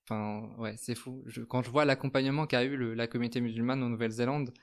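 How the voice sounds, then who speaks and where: Young male guest from France, soft and thoughtful, halting delivery, mid pitch. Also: fairly even intonation. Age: 20s. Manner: soft-spoken, hesitant, thoughtful. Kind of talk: reflective personal testimony.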